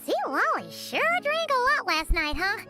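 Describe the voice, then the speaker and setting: Tiny fairy companion: childlike, chatty, very high and animated, video game. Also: female voice, unhurried, heavily acted. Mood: hopeful. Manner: talks of herself in third person, exclaims often. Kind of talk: video game character acting. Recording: loud background music. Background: tinkling children's game music.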